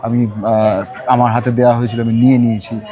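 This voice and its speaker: A man with a Bengali accent working as an interviewer, putting his questions in a halting way with pauses. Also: medium pitch, flat delivery, mellow, muffled recording.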